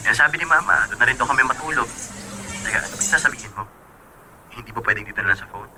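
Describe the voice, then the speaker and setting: A man's voice: medium pitch, rapid-fire, background noise, close to an everyday voice. A man playing a casual, evasive young fiancé in a radio drama phone call. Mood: evasive, hurried. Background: music bed.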